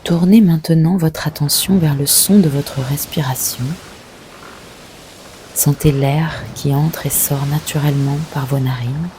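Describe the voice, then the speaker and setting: A woman leading a guided yoga nidra meditation, giving gentle, unhurried instructions with long pauses. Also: sits low, fairly even intonation, a little hiss or hum.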